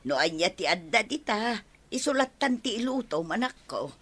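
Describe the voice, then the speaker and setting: A woman's voice: mid-range voice, sing-song, moderate pace, a bit bright, slightly rough. A woman acting an emotional, motherly older village wife in an Ilocano radio drama. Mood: pleased.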